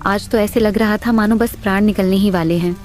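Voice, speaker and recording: A woman voicing a poor, lonely old village woman who speaks in a weary, plaintive way, in an animated storybook. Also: mid-range voice, brisk, natural voice. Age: older. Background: background music.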